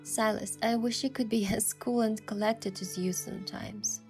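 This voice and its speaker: A woman's voice: on the high side, fairly even intonation, normal speed, darkish tone, close to an everyday voice. Woman as thoughtful young heroine narrating her story. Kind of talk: first-person story narration and game dialogue. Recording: music behind the voice.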